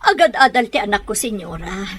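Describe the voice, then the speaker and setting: A woman's voice: medium pitch, expressive, fairly quick, a bit bright. A woman playing a protective, defiant poor mother in an audio drama. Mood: protesting, questioning. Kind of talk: Ilocano audio drama acting.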